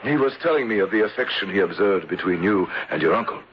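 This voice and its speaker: An actor playing a grave, formal gentleman rescuer and narrator in an old-time radio drama. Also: on the low side, lively intonation, natural voice. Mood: courteous. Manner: formal, measured, courtly. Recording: dull, noisy old radio broadcast.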